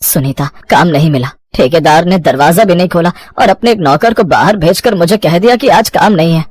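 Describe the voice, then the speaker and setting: A man's voice: fairly high pitch, brisk, no echo, studio quality, natural voice. An actor voicing an earnest, worried poor labourer father who pleads and reassures in a cartoon story. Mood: desperate.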